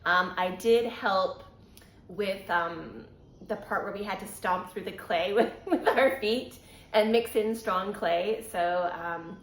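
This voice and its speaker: A middle-aged Canadian woman hosting a knitting podcast in a casual, chatty way, laughing often and filling gaps with ums. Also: mid-range voice. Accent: Canadian English. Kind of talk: casual chat. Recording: roomy echo.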